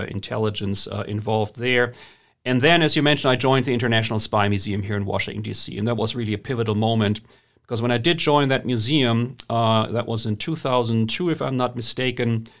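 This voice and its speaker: German guy with a lowish voice, lots of uhs and restarts, chatting as a guest. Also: fairly bright tone. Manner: hesitant, many fillers and restarts. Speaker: guest historian. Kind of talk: conversational interview.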